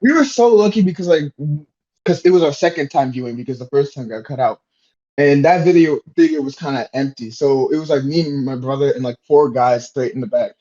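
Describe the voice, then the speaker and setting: A man's voice: medium pitch, fairly quick, dark tone. A man chatting casually as a podcast panelist, slangy and sweary, heard through a somewhat lo-fi microphone. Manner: slangy, sweary, says "bro" and "you know" often. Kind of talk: casual chat.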